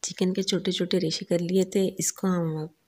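Low, husky-voiced woman teaching a recipe. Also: normal speed. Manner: calm, instructive, lists ingredients and quantities. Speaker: cooking channel host. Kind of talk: step-by-step recipe voice-over.

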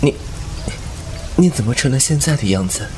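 A man voicing a thousand-year-old male fox spirit who narrates the drama in a calm, measured, detached way. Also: medium pitch, slight background noise, close to an everyday voice. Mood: cool. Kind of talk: fan audio drama acting.

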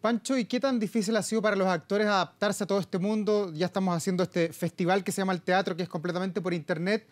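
Fast-talking Chilean male news presenter. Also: high voice, steady tone. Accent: Chilean Spanish. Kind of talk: live television interview questions. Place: television studio.

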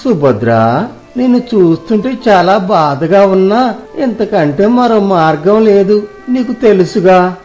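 A performer voicing a resigned but firm older father-in-law in a moral storybook family drama, speaking deliberately. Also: medium pitch, animated, darkish tone, faint music behind, close to an everyday voice.

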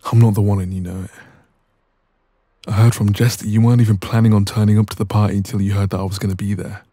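Man acting as low, husky, cocky ex-boyfriend. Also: little pitch movement, quick pace, warm tone, no echo, clean studio sound. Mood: accusing, restrained. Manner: smug, teasing, casual. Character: dominant, cocky, irritated young ex-boyfriend in an intimate argument. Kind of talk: intimate ASMR audio roleplay. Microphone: close microphone.

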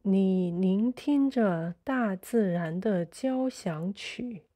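A woman narrating a guided sleep meditation in a soothing, measured way, in short phrases with pauses. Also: mid-range voice, lively intonation, mellow.

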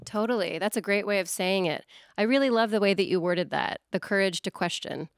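A woman's voice: medium pitch, brisk, dry recording, clean studio sound. A woman hosting a music interview, speaking with effusive praise. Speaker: interview host.

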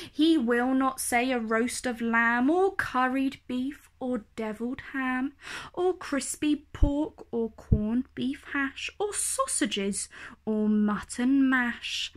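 A woman reading children's verse aloud as a storyteller, expressive and deliberate in her delivery. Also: high-pitched.

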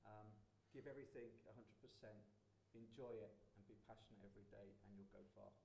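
A middle-aged man with a mellow, warm tone giving motivational advice on a panel. The room is echoey with faint hall noise behind him. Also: lowish voice, steady tone, quick pace, gravelly. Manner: encouraging, motivational. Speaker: chef panelist. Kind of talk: panel discussion to students.

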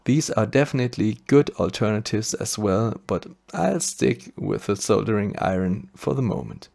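A man's voice: fairly low pitch, animated, normal speed, vocal fry, darkish tone. A man with a German accent narrating a scripted electronics tutorial step by step. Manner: methodical step-by-step explaining. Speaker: maker channel host.

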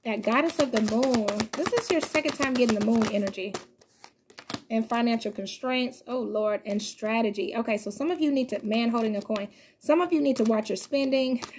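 A woman's voice: fairly high pitch, normal speed, a little mellow, a little hiss or hum. A young woman reading tarot cards to the camera from her living room couch. She talks loosely and chattily to her viewers, addressing them as y'all, and reads guidebook passages aloud. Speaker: tarot reader. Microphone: fixed camera a few feet away.

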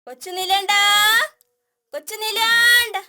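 A woman's voice: very high voice, fairly even intonation, a little slow. A woman voicing an anxious mother elephant in a children's cartoon, calling out for her calf.

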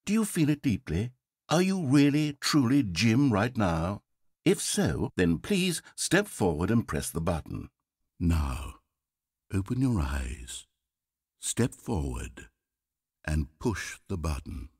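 A theatrical, needy game narrator. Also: male voice, on the low side, sing-song, takes it slowly, a little mellow, breathy edge, no echo, clean studio sound, exaggerated voice. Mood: coaxing. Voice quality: theatrical, swinging from coaxing to exasperated. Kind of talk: video game voice acting.

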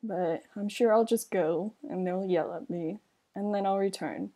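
A woman playing a hesitant magic guild member with halting speech in a fantasy game roleplay. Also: mid-range voice, warm tone, close to an everyday voice. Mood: subdued.

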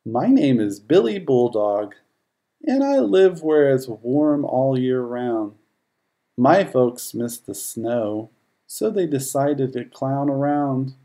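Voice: medium pitch, expressive, takes it slowly, dark tone. A narrator voicing a good-natured, wry bulldog in a rhyming children's storybook. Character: good-natured bulldog reluctantly dressed as Santa.

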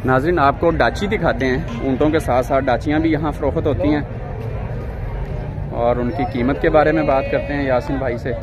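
A man interviewing a trader in a casual outdoor chat, asking brisk questions and agreeing often. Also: mid-range voice, steady tone. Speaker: interviewer, channel host. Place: outdoor livestock market. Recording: outdoor market with crowd noise. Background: crowd at a busy market.

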